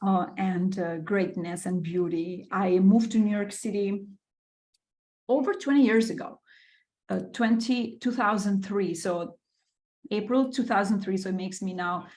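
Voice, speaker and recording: A woman's voice: mid-range voice, steady tone, measured pace, a little mellow. Enthusiastic woman from Albania. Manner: enthusiastic, with many fillers and repeated words. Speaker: podcast guest. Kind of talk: casual interview storytelling.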